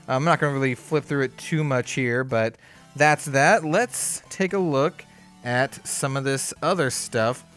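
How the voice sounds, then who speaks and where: A man hosting a card game channel, chatting casually through an unboxing, easygoing and upbeat with lots of fillers, his voice a bit hoarse. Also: a little high, a bit bright. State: slightly hoarse.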